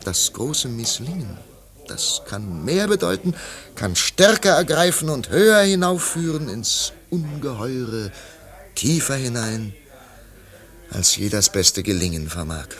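An actor in a German radio play voicing a disillusioned, wistful male artist who talks in a theatrical, halting way. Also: mid-range voice, very animated, fairly bright tone. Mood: musing, fervent.

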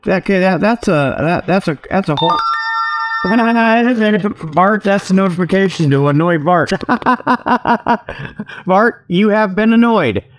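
A man's voice: high-pitched, animated, slow pace, faint music behind. A man hosting a comedic show in a folksy, joking, easily sidetracked monologue, talking into a tablet while sitting outdoors. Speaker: host of a comedic report show. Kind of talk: rambling casual humorous monologue. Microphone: tablet's built-in mic, resting on leg.

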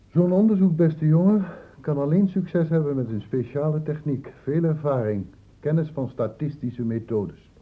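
An actor playing a shrewd, paternal doctor in a radio play, speaking in a measured, patronising, ironic way. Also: male voice, on the high side, lightly acted. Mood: sceptical, ironic. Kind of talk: radio play acting in Dutch. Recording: muffled, phone-like old radio recording.

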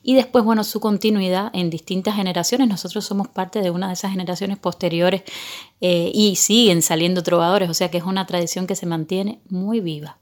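A woman's voice: lowish voice, steady tone, brisk. A woman with a Cuban accent giving articulate, explanatory answers as an interview guest in a conversational way. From Cuba. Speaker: interview guest, singer-songwriter and illustrator.

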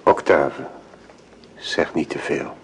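A patient husband in a radio play. His voice is deep and slow, soothing and formally restrained. The old recording is clearly noisy. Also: a bit bright, full character voice. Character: calm, forbearing husband and dutiful son. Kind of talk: radio drama acting.

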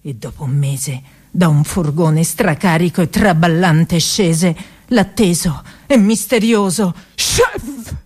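A woman's voice: lowish voice, expressive, slow pace, a little mellow, husky. A woman performing a tragicomic story for radio, narrating dramatically with emphatic delivery and relish for each word. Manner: dramatic, emphatic, savouring lists of words. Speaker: stage actress and narrator.

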